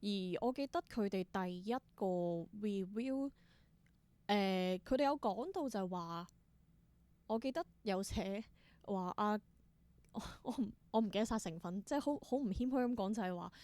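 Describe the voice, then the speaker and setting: A woman's voice: medium pitch, animated, normal speed, a little mellow, dry recording. A woman with a Hong Kong Cantonese accent answering as a theatre performer in an interview, explaining things conversationally and dropping in English terms.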